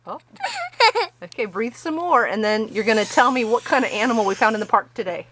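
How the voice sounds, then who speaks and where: A woman with a fairly high, very animated voice talks casually to a child, giving simple coaxing prompts and questions. She sounds like a parent behind a home camera, and the recording is clearly noisy. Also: fairly quick. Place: small indoor bedroom. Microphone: camera microphone, speaker behind the camera.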